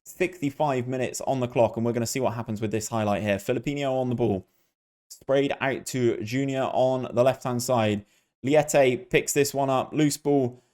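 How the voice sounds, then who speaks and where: A man's voice: mid-range voice, moderate pace, a little mellow. A man hosting a gaming video, giving casual, chatty play-by-play and talking directly to his viewers. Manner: chatty, addresses viewers as guys.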